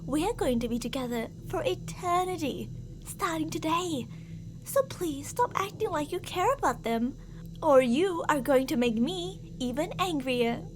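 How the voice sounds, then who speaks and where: A woman's voice: high voice, expressive, measured pace. A woman playing an obsessively jealous, murderous stalker lover in an ASMR roleplay, theatrical and possessive, swinging between coaxing and menace. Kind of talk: ASMR girlfriend roleplay acting. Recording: audible background noise.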